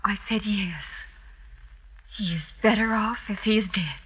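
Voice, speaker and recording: An icy, embittered lady of the manor in an old radio play. She speaks in short, guarded phrases at an ordinary female pitch. The vintage recording sounds dull and narrow. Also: unhurried, breathy edge. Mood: quietly bitter. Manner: curt, guarded. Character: cold, reclusive, embittered aristocratic wife. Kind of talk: 1950s radio drama acting.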